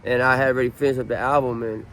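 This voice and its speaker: A man in his late thirties from Southern California talks outdoors in a casual street interview. His pitch stays level and his delivery wanders with restarts and fillers. Faint city noise sits behind him. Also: very fast, rough recording. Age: 30s. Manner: relaxed, rambling, many ums and restarts.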